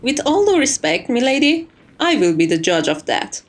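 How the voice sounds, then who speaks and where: Woman as a prim, higher-voiced chief maid. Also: sing-song, full character voice. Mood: firm, polite. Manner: formal, measured, politely condescending. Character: prim, composed, strict but fond chief maid of vampire estate. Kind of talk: ASMR roleplay acting.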